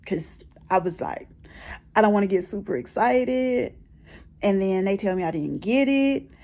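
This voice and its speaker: A woman's voice: medium pitch, animated, measured pace, a little mellow. A middle-aged American woman chatting casually in a personal vlog, telling her story expressively and repeating phrases for emphasis. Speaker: personal vlogger. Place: bedroom at home.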